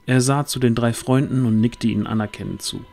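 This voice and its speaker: A man's voice: medium pitch, steady tone, brisk, gravelly, darkish tone, faint music behind. A man reading scripted narration for a fantasy audio drama.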